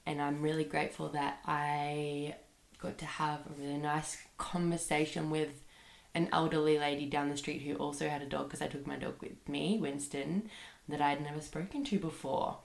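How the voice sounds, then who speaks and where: Woman, low creaky flat voice, head cold, chatty podcast host in an echoey room. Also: moderate pace. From Australia. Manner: chatty, rambling, lots of fillers like "like" and "um". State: sick with a bad cold. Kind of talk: casual solo podcast monologue. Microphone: computer microphone.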